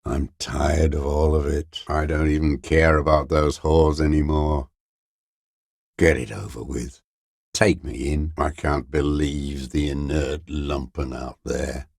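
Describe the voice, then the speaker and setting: An actor playing a bitter, weary old communist deserter in a video game, gravelly and raspy, cursing with contempt in a slow mutter. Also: male voice, extremely low pitch, steady tone, darkish tone, no room sound, studio quality, exaggerated voice. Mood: contemptuous, weary, then bitter despair. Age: older. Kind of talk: dramatic video game character acting.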